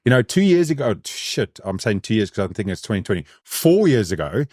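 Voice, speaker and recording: Rambling New podcast host. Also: male voice, lowish voice, brisk, no room sound, clean studio sound. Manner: rambling, relaxed, peppers with "bro" and "you know". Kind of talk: casual podcast chat.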